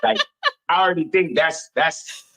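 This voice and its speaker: A man with a fairly high voice is being interviewed in a radio studio. He talks in a casual, slangy way, animated and opinionated, often repeating a phrase to drive it home. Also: moderate pace. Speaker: interview guest. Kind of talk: casual chat, storytelling.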